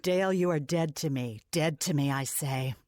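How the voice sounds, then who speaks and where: A woman's voice: sits low, moderate pace, a little mellow. A woman bantering playfully in a mock-dramatic manner. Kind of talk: playful banter with quotes and sung parody.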